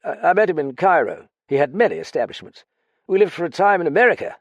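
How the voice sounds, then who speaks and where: A man's voice: a little high, sing-song, quick pace, no echo, highs cut off, pitched above the performer's own voice. A man putting on a grandly drunk ex-officer. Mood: vague. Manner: slurred dignity, giggling, blustering. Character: drunk pompous ex-officer secretary, lanky, vague.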